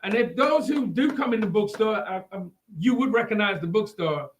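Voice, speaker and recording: A man with a notably high voice co-hosts a casual live chat. His intonation is very lively, and he gets excitable, repeating phrases as he talks. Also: moderate pace, a little hiss or hum. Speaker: talk show co-host.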